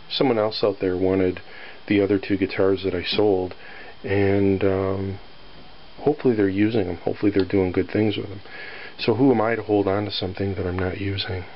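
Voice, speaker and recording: A man with a lowish, allergy-scratched voice chats loosely about his guitar, full of ums and throat clearing. The sound is dull and lo-fi, home camera audio with faint noise in the background. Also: fairly even intonation, normal speed. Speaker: guitar owner showing his guitar. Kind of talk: casual show-and-tell. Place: near a sunny front porch. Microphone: handheld camera filming close-up.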